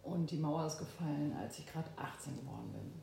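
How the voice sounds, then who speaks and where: A woman in her fifties from Berlin, a guest in an interview, who talks thoughtfully with pauses and fillers. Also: low-pitched, animated, gravelly, dark tone, a little hiss or hum. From Berlin, Germany. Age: 50s. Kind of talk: oral history interview. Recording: echoey room.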